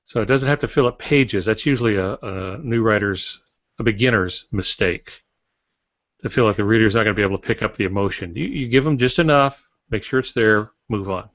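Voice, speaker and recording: A man's voice: on the low side, fairly quick, a bit bright, no room sound. An older man co-hosting a writing podcast in casual, instructional discussion, telling anecdotes to make his points. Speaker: podcast co-host and writer. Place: small indoor home office. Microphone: video call. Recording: dull, lo-fi video call audio.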